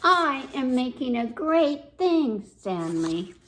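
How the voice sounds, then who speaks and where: A woman voicing a stubborn, boastful little girl from a storybook, with emphatic, defiant, drawn-out words. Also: high-pitched, sing-song, a bit bright, slight background noise, full character voice. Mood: proud, boastful.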